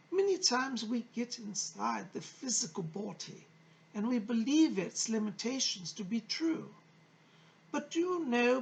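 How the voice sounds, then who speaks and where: A gushing, genteel lady spirit played by a woman teaches with motherly affection. Her highish voice swoops theatrically up and down and drops into creaky tones as she delivers channelled wisdom. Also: low-quality recording. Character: Rose, effusive, motherly female spirit from the early 1900s.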